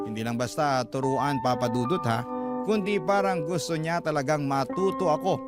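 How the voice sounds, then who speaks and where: Filipino man narrating over loud music. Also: mid-range voice. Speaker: radio host reading a listener's letter. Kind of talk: scripted first-person story narration. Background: loud background music.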